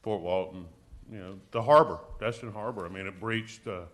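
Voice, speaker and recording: A man with a deep, low voice chairs a local public meeting. He speaks plainly and in a folksy way, calling motions and reminiscing casually. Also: moderate pace, fairly bright tone. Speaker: committee chair.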